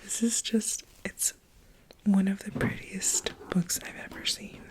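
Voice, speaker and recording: A woman of thirty talking softly and in a rambling way into a close microphone for an ASMR video. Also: medium pitch, flat delivery, slow. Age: 30s. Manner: rambling, soft-spoken, giggly. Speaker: ASMR creator. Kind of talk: soft-spoken ASMR chat.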